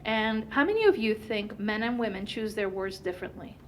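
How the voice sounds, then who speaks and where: A woman whose first language is Turkish, giving a conference presentation in an animated way with many fillers. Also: medium pitch, brisk, a little hiss or hum.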